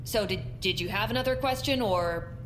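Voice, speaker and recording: A woman's voice: mid-range voice, moderate pace, a bit bright, a little hiss or hum, natural voice. An actress playing a sarcastic, impatient young woman held captive, with a dry delivery in a comedic audio drama. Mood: dry impatience. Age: young adult.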